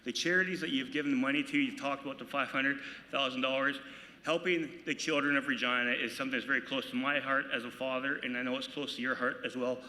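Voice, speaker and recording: Earnest politician guy, bright voice, speaking off the cuff at a podium mic, long echo in a big hall. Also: medium pitch, steady tone, quick pace. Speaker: local politician giving remarks. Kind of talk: unscripted speech. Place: large banquet hall at a gala. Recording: echoey hall.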